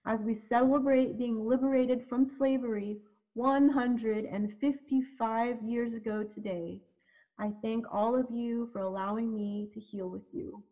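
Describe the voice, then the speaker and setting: A woman reciting a spoken-word piece in a measured, prayerful way. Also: fairly high pitch, fairly even intonation, dark tone. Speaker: event speaker. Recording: dull lo-fi audio.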